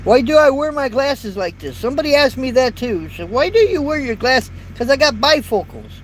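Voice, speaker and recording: Man in his fifties, high light voice, rambling folksy chat, in a truck cab, faint traffic behind. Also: very animated, brisk. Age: 50s. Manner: rambling, folksy, repeats words. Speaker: truck driver vlogger. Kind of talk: casual live chat to viewers. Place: inside a parked truck cab. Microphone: built-in phone or camera mic. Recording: faint road and traffic noise.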